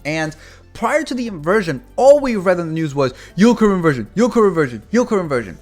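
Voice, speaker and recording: Young man from Cuba, finance channel host, rambling casual talk, lively swooping pitch, faint music behind. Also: a little fast. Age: 20s. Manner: rambling, animated, addresses viewers as guys. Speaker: finance YouTuber. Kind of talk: casual market commentary.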